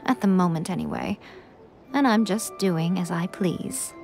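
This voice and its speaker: A woman's voice: fairly low pitch, a little mellow, soft background music. A woman playing a sly, worldly shrine priestess in a video game, teasing and self-assured with a knowing air. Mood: breezy. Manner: teasing, knowing, unhurried condescension. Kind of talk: video game cutscene acting.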